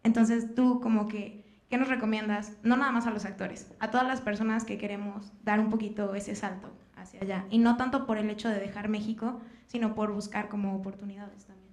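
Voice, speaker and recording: A young woman from Mexico, a student asking a question into a handheld mic in a dark auditorium, searching for her words as she goes. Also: medium pitch, steady tone, a little fast. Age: young adult. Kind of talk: audience question. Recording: echoey room.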